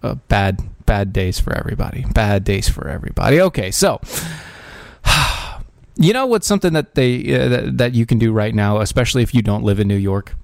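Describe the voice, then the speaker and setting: A man's voice: on the low side, lively intonation, normal speed, vocal fry, no room sound, studio quality. A man hosting a podcast, giving casual political commentary in a sarcastic, ranting way with frequent restarts and fillers. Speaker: podcast host.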